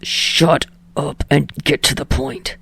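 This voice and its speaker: Woman acting young man, low breathy growl, curt, venomous, foul-mouthed, dry close sound, audiobook dialogue. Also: fairly even intonation, slow pace, fairly bright tone, dry recording, heavily acted. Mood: snarling. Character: young man, foul-mouthed, snarling, hostile film actor.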